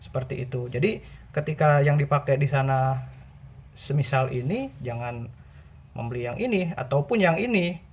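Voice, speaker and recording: A man's voice: medium pitch, expressive, normal speed, long pauses, a little mellow, husky. A man gives an informal tutorial, explaining patiently by repeating and rephrasing his points. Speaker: presenter giving buying tips.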